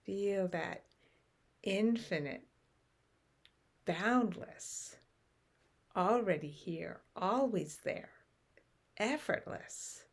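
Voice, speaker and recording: A woman leading a guided meditation in a slow, gentle way, with long pauses between short phrases. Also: mid-range voice, expressive, slightly rough.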